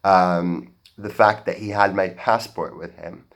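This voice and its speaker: Young man, American-sounding accent, earnest stage talk, halting restarts, slow pace. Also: low-pitched, fairly even intonation. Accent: general American. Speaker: speaker at a talk. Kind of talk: public talk, personal story. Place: auditorium stage.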